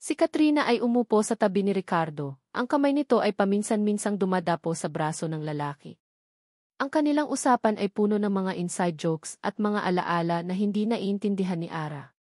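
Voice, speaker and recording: Female narrator for romance audio drama: scripted storytelling, medium pitch, very dry sound. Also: expressive, brisk, darkish tone, dry recording.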